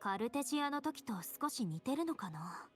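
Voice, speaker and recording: Brisk, thoughtful young game heroine. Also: female voice, high-pitched, big pitch swings. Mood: pensive. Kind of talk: Japanese video game voice acting. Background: game music.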